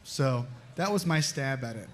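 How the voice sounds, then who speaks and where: A man answering fan questions as a voice actor on a live panel, with deadpan, self-deprecating banter. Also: mid-range voice, moderate pace. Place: in front of a live audience. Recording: light background noise, live event microphone.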